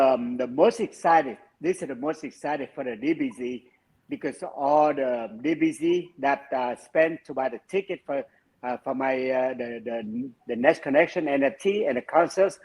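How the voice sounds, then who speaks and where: A man from Vietnam with a Vietnamese accent, speaking English as a second language with halting phrasing, interviewed over a video call from his home. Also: mid-range voice, darkish tone, breathy edge, poor audio. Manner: halting, non-native phrasing. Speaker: project founder guest. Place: home room with framed photos.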